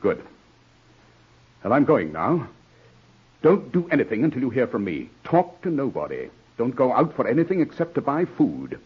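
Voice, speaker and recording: An actor playing a composed, authoritative gentleman in a 1940s radio drama, husky-voiced, measured and questioning. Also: medium pitch, big pitch swings. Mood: grave, controlled. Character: composed, commanding English gentleman, brisk and probing. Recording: muffled vintage radio recording.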